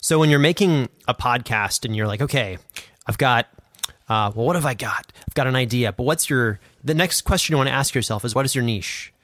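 A man's voice: mid-range voice, brisk, a bit bright, no room sound. A man co-hosting a podcast as a producer, explaining things in a casual, loosely phrased conversational way. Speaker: podcast co-host, producer and sound editor.

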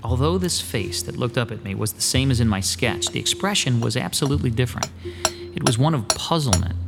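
A man's voice: mid-range voice, lively intonation, brisk, vocal fry, noisy background. An actor playing an uneasy male artist who narrates his own story in a radio drama, hesitant and polite. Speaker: narrator and lead character.

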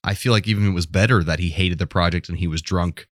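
Very deep-voiced man in casual podcast chat. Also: fast talker, dry recording, clean studio sound. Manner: informal, hedging with frequent "like". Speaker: podcast co-host. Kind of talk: casual film debate chat.

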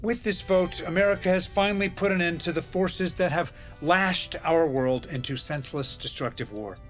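An actor playing an ailing, vain older American president in an audio drama, oratorical yet halting and easily confused. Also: male voice, high voice, soft background music, close to an everyday voice.

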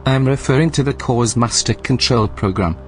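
An actor playing a formal, measured male artificial intelligence in a science-fiction audio drama. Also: medium pitch, faint music behind, close to an everyday voice. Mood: calm, apologetic. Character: calm, clinical male artificial intelligence running a research station.

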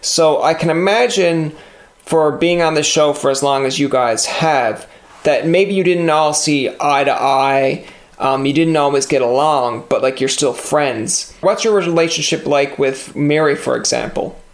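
Male podcast host, Newfoundland accent, fairly high voice, playful teasing. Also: unhurried. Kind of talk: casual podcast interview.

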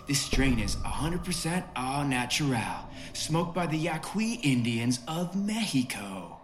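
Nervous young-sounding store clerk role, lively pitch swings, halting speech, audio drama with music behind. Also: male voice. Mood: awkwardly helpful. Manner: hesitant, nervous, stammering. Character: jittery, uneasy male cannabis shop clerk. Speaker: shop clerk. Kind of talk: comic horror audio drama acting.

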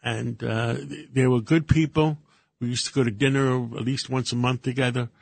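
An older Greek-born man, a radio co-host, who talks casually in halting bursts with many fillers and restarts. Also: medium pitch, fairly even intonation, gravelly, no echo. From Greece.

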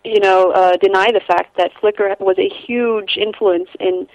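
A young Icelandic woman whose English has an American accent, talking loosely about her photography in an interview. She rambles, restarts often and laughs easily, at a middling pitch. The recording is dry and close. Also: steady tone, unhurried, darkish tone, no room sound, lo-fi sound. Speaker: photographer guest. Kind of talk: casual interview conversation.

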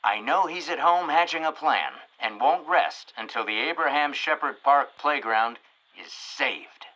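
Chipper radio announcer, lively pitch, forceful bright tone, slightly dull lo-fi sound. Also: male voice, unhurried, a little hiss or hum. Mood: chatty. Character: chipper, chatty radio reporter narrator. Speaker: host. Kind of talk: mock radio show narration.

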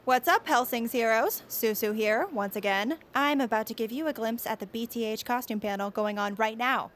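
An actress playing a perky, self-absorbed young fan video blogger with a chirpy, slangy delivery. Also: female voice, on the high side, normal speed, a bit bright, slight background noise, natural voice.

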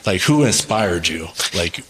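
A man hosting a podcast in relaxed, casual chat, filling his questions with "like" and "you know.". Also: sits low, unhurried. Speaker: podcast host. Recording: roomy reverb with faint noise.

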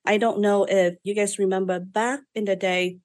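A woman's voice: medium pitch, steady tone, moderate pace, darkish tone. Vietnamese-accented woman telling her story. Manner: candid, chatty, with frequent fillers and restarts. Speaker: guest, lash business owner. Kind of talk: casual interview storytelling.

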